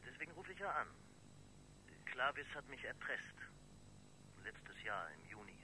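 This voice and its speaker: An actor playing a formal, aggrieved pharmacist in a radio crime drama, speaking deliberately. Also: male voice, medium pitch, sing-song, pushed and bright, a little hiss or hum, heavily acted, rough recording. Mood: indignant. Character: indignant, formal male pharmacist with a doctorate.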